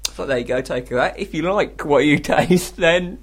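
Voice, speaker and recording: Male radio co-host, British, voice a touch high, giggly and rambling, casual comic chat. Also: moderate pace. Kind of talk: casual comedic radio banter. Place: radio studio.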